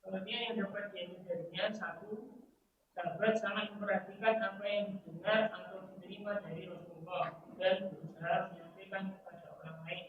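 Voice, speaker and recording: A man reading numbered points from a religious commentary aloud in a steady, formal way. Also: high voice, a little fast. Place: mosque study gathering. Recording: distant, very echoey, dull lo-fi with noise.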